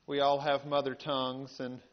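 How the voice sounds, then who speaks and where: A man's voice: medium pitch, monotone. Southern American man telling stories, dull recording. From rural North Carolina, United States. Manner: folksy, halting with repeated words. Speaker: invited panel speaker. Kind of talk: storytelling talk to an audience. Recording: dull, band-limited live talk recording.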